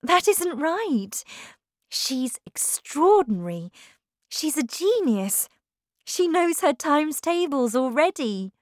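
A woman voicing a timid, kind young schoolteacher who pleads in a high, anxious voice. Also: very animated, slow pace, no room sound, studio quality, exaggerated voice. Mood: pleading.

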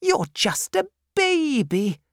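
A commanding, brusque aunt barks orders in a slow, emphatic manner. Her voice sits high, with a raised, pressing delivery, as a woman storyteller acts her out. The reading is close-miked and dry. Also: very animated, creaky, no echo, exaggerated voice. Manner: brisk, bossy, slowed and emphatic.

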